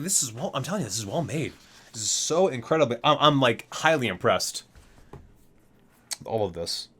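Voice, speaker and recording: Man reading aloud with lively, swooping pitch. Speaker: ASMR video creator, gamer. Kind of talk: ASMR reading aloud from a game item book with casual asides.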